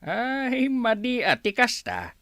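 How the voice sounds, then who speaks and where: Slow, swooping older Filipino father played by a man. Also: very high-pitched, wildly swooping pitch, pushed and bright, dry recording, exaggerated voice. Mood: disapproving. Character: concerned old father asking after his drinking son. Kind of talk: radio drama acting.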